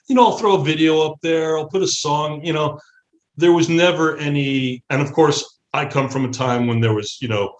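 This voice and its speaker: A middle-aged American man, a musician, talking earnestly and haltingly as a guest in a casual interview. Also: mid-range voice. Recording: roomy echo.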